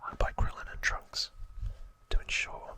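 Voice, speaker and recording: A man hosting an ASMR video, narrating in a breathy, unvoiced whisper with a gentle, hushed delivery. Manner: slow, gentle, hushed delivery with drawn-out words. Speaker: host of an ASMR channel.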